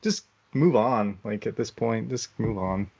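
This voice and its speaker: A man's voice: fairly low pitch, a little slow, creaky, darkish tone, highs cut off. A man co-hosting a podcast, casually critiquing films in a dismissive, conversational way. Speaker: podcast co-host.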